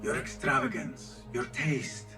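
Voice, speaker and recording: A man's voice: medium pitch, fairly even intonation, takes it slowly, distant mic, music in the background, natural voice. An actor playing a guarded, courteous young man posing as a trader in a video game, speaking formally and carefully. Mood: composed, flattering. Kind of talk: dramatic game cutscene acting.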